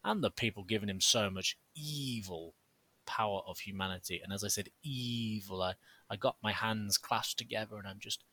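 A man's voice: on the low side, normal speed, bright, forceful tone, a little hiss or hum. A man co-hosting a podcast, theatrical, jokey and sarcastic in casual chat. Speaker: podcast co-host.

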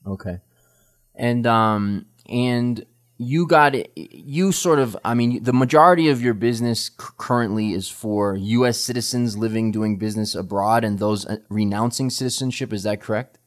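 A man hosting a podcast interview, talking casually with many ums and restarts. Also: medium pitch. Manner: halting, many fillers and restarts. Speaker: podcast host.

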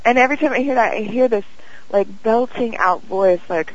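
Young woman, interview guest, dreamy halting talk, lots of fillers, muffled lo-fi audio. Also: on the high side. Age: young adult. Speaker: guest, singer and actress. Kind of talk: casual interview chat. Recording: dull lo-fi audio.

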